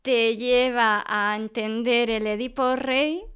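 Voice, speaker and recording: Unhurried, clear-voiced woman professor. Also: fairly high pitch, steady tone, fairly bright tone. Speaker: professor being interviewed about classical radio theatre. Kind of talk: conversational interview, explaining.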